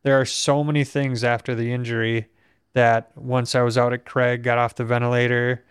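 A young man from Minnesota talks in a steady, reflective way. His voice is smooth and clean, with little rise and fall and not much breath behind it. The recording is dry and close to the mic. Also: mid-range voice, moderate pace, no echo. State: weak breath support. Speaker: podcast host. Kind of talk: casual conversation.

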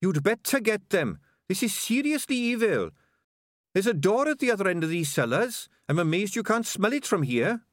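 High-pitched, exasperated rat underling. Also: male voice, sing-song, normal speed, no room sound, studio quality. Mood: urgent. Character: anxious, exasperated male rat messenger, excitable underling.

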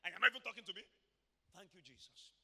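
A man preaching an energetic sermon in a church, swinging from quiet asides to shouted exclamations and acting out voices. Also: sits high, rapid-fire. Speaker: pastor. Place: church hall.

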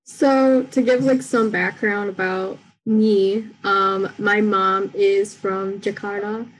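A woman's voice: medium pitch, slow, poor audio. Young woman, rambling casually. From Michigan, United States. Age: young adult. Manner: relaxed, rambling, frequent "like" and "um". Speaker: guest. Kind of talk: casual interview talk.

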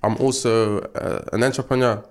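A man's voice: medium pitch, fairly even intonation, moderate pace, darkish tone. A nineteen-year-old man with a London accent chatting casually as a podcast guest, using slang and lots of filler tags. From born in Ghana, grew up in South London. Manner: slangy, frequent filler tags such as like and innit. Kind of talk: casual chat, personal testimony.

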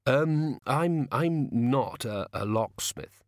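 A man's voice: medium pitch, animated, takes it slowly, dry recording. Guy doing a nervous wizard lad for an audiobook, stammers and hesitates, really dry recording. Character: timid, flustered young male wizard, nervously deferential.

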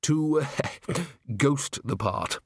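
A man's voice: mid-range voice, expressive, extremely slow, creaky, breathy edge, heavily acted. Man putting on a stammering, jovial bumbling boss. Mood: awkwardly jovial. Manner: stammering, hemming and hawing. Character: bumbling, hesitant, jolly male opera house owner.

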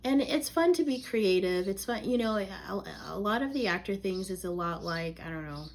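A woman actor chatting casually as a podcast co-host, relaxed and full of fillers. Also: medium pitch, moderate pace, slight background noise. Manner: relaxed, trailing, filler-heavy phrasing. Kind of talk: casual chat.

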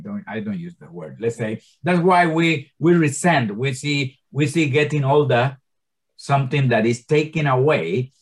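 A man in his sixties giving an informal Bible teaching to a small group, speaking English as a second language with grammar slips and frequent stammered restarts. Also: mid-range voice. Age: 60s. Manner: impassioned, many stammered restarts.

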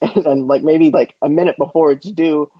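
A man's voice: a little high, steady tone, normal speed, husky, no echo, lo-fi sound. A man co-hosting a casual sports podcast, bantering in an opinionated, incredulous and sarcastic way. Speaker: podcast co-host.

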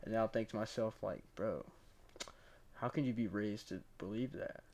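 A man's voice: fairly low pitch, moderate pace, darkish tone. A young man co-hosting a casual podcast, laid-back and chatty, dropping "like" and "bro" often. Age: young adult. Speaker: podcast co-host. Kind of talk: casual chat.

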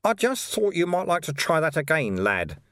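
A man's voice: a little high, wildly swooping pitch, normal speed, a bit bright, no echo, clean studio sound, voice pushed up. A man voicing a cheery, wily, skinny old bald monk in an audiobook, with a friendly, teasing assurance. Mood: amused, friendly.

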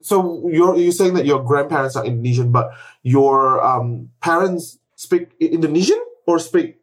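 Low-pitched woman host, curious interviewer, casual chat. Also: normal speed. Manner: curious, asks follow-up questions. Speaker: podcast host.

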